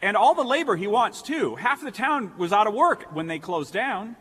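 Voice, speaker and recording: A man's voice: high-pitched, big pitch swings, normal speed, sharp tone, husky, full character voice. An actor playing an agitated young small-town man in a stage radio play. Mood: defensive, eager. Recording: echoey theatre hall, faint music behind.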